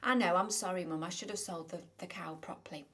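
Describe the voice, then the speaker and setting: A woman's voice: lowish voice, a little fast, slightly rough. A woman voicing a plucky young boy who is nervous but brave, in a children's story. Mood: earnest. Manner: breathless, hushed then excited.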